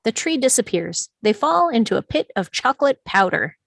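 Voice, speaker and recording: A woman's voice: on the high side, big pitch swings, moderate pace, vocal fry, a bit bright, no room sound. Dry-sounding woman narrating a script. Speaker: narrator reading stage directions. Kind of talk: scripted narration, live table read.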